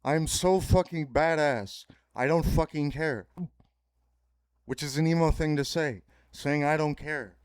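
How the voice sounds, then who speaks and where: A man's voice: fairly high pitch, a little slow, clear, resonant tone, no room sound. A man hosting a solo podcast, delivering a rambling, grandiose, pseudo-philosophical monologue that repeats itself and breaks into sudden profanity. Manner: repetitive, circling phrasing. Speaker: podcast host.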